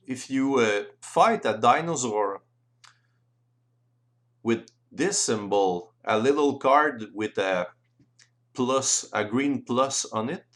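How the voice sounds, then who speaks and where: A man whose native language is French, explaining game rules in careful, halting, non-native English as an instructional voice-over. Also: medium pitch.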